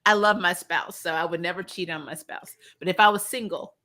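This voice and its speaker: Bright-voiced woman chatting, quick to joke. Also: on the low side. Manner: animated joking, swears freely, laughs often. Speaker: host of a review show. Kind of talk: casual pop-culture review chat.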